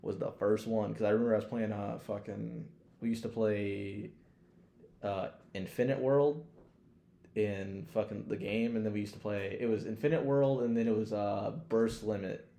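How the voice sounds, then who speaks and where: Low, gravelly-voiced man chatting casually. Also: fairly even intonation, moderate pace, mellow, roomy sound. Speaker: podcast co-host. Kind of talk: casual chat, profanity-laden.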